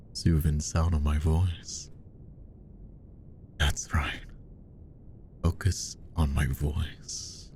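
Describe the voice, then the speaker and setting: A man playing a possessive, menacingly tender magician hypnotist in roleplay ASMR, speaking softly and coaxingly, often slipping into whispers. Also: a little hiss or hum, exaggerated voice. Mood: teasing, smug. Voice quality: soft, very deep, gravelly. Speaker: voice actor.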